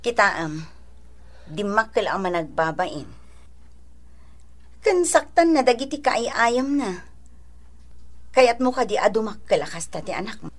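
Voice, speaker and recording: An actress playing a sensible mother and elder sister who gives gently admonishing advice in a radio drama. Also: medium pitch, sing-song, measured pace, long pauses. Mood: chiding. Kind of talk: Ilocano radio drama acting.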